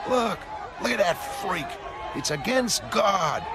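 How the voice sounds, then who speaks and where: High, jeering, outraged rioter. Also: male voice, expressive, slow, a crowd in the background, background noise. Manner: jeering, loud, indignant. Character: hostile, outraged rioter heckling a superhero. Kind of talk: motion comic character acting. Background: music.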